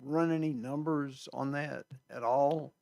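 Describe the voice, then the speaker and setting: Council member, fading hoarse voice, quiet and courteous. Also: male voice, medium pitch, steady tone, measured pace. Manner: soft-spoken, courteous questioning. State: losing his voice. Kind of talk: council meeting questioning.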